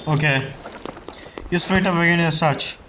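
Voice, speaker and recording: A man's voice: a little high, fairly even intonation, moderate pace, bright, forceful tone, slight background noise, rough recording. A man reacting casually to a game story, swearing and talking with loose grammar. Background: faint game music.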